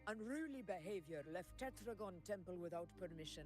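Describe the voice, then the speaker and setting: A woman's voice: lowish voice, animated, a little fast, a little mellow, lightly acted. An actress playing a proud, iron-willed female ruler in a video game, speaking imperiously with an Italian accent. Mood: wry, composed. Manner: imperious, declamatory. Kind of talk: scripted game dialogue. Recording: echoing hall, music behind.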